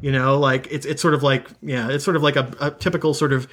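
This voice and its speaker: A man's voice: mid-range voice, little pitch movement, fast talker, fairly bright tone. A man chatting as a podcast guest, rambling off on tangents with self-mocking humor. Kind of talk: casual podcast chat.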